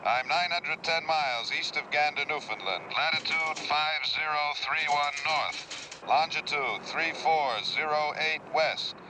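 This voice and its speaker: A man's voice: on the high side, measured pace, natural voice. A man playing a military aircraft commander in a 1960s drama, decisive, with clipped, authoritative radio phrasing. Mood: businesslike. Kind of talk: 1960s television drama acting, radio procedure talk. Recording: noisy old TV soundtrack, loud engine drone.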